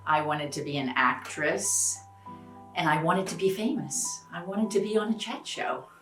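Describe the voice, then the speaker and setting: A woman's voice: fairly low pitch, animated, a little slow, far from the mic. A woman answering interview questions on camera. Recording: echoey room, voice off the mic. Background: soft background music.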